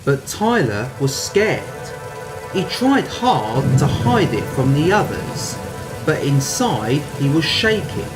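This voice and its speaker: A narrator tells a spooky radio play story at a slow pace, with a lot of movement in the pitch. Music plays behind the voice. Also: very animated, rough recording.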